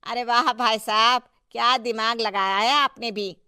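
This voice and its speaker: A woman voicing a deferential, flattering townsperson in a cartoon story, with an effusive, fawning manner. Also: on the high side, measured pace, clear, resonant tone, dry recording, clean studio sound. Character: deferential, flattering townsfolk seeking the politician's favour. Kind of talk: scripted cartoon story dialogue.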